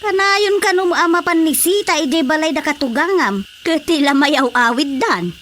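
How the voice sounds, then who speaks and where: A nosy village neighbour with a high, sharp voice and an Ilocano Filipino accent. She chats and exclaims in radio comedy style over faint background noise. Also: moderate pace. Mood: chatty, curious.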